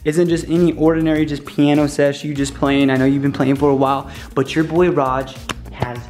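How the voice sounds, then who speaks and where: A man's voice: on the high side, level tone, fairly quick, darkish tone, faint music behind. A young adult American man chatting casually to a vlog camera, with the playful, hyped manner of a showman. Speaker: vlogger. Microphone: handheld vlog camera at arm's length.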